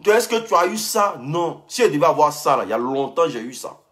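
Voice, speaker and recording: Young man with a lively voice. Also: a little high, fairly quick. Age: young adult. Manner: heated, repeats himself, speaks straight at a rival. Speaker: live streamer. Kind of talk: casual live-stream rant.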